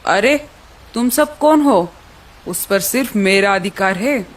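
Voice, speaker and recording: A woman's voice: medium pitch, animated, slow pace. A woman voicing a greedy, hungry male hawk villain who gloats in a children's cartoon story. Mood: menacing. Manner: gloating, possessive.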